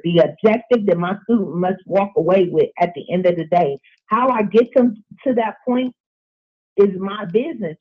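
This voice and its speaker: A woman gives her opinion in a discussion, stressing and repeating phrases for emphasis. Her voice sits at a middle pitch and comes through thin and tinny, like a phone line. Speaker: teacher, guest in a discussion.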